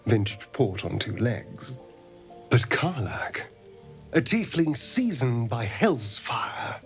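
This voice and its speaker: A sly, refined vampire flirt from a video game. He speaks slowly in a theatrical, teasing drawl, his pitch swooping widely and sometimes dropping into breathy asides. Faint music plays behind him. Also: very animated. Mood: relishing, musing. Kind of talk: video game companion dialogue.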